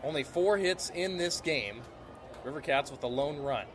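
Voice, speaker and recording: A man's voice: a little high, expressive, normal speed, music in the background. A man calling live sports play-by-play as a broadcast announcer. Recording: clear arena and ballpark noise.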